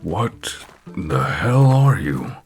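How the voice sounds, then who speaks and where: An actor playing a gruff, suspicious harvest god in a fantasy audio roleplay, with a husky voice and a blunt, grumbling, wary manner. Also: male voice, low-pitched, sing-song, drawn-out words, darkish tone, faint music behind, heavily acted.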